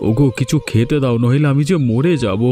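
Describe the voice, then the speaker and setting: A man's voice: mid-range voice, a little fast, dark tone, soft background music, close to an everyday voice. A man voicing a poor, hungry middle-aged farmer in a cartoon, pleading with his wife for food. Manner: pleading, complaining. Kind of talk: cartoon dubbing.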